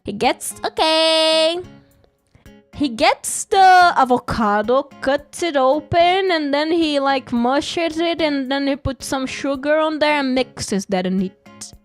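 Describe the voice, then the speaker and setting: Woman, high voice, playful rambling chat, frequent giggles. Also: lively intonation, takes it slowly. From Brazil. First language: Portuguese. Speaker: streamer. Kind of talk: casual live-stream chat. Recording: faint music behind.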